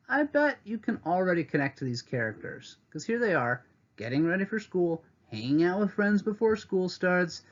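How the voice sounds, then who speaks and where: Male teacher, read-aloud to kids, animated higher voice, slightly muffled webcam audio. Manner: expressive storybook reading with teacherly asides. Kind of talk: read-aloud lesson for children.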